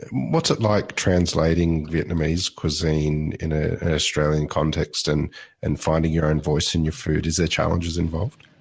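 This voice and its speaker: A man hosting a podcast, asking an interview guest questions in a slow, measured way. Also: extremely low pitch, fairly even intonation, vocal fry, slightly rough. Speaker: podcast host.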